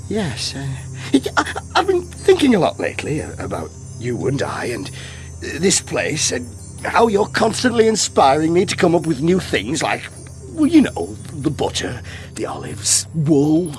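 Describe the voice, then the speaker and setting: A man's voice: a little high, huge pitch swings, a little slow, faint music behind. An actor playing a lovesick, over-eager inventor in a comic audio drama, stammering and repeating himself as he rambles. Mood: nervous, smitten. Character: lovesick, rambling male inventor in mythic comedy.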